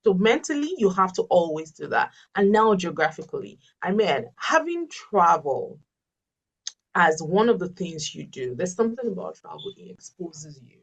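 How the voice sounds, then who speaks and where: A woman's voice: lowish voice, very animated, moderate pace, breathy edge, far from the mic, rough recording. A Yoruba-speaking woman, a life coach answering webinar questions earnestly and emphatically, often repeating her key phrases. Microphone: video call.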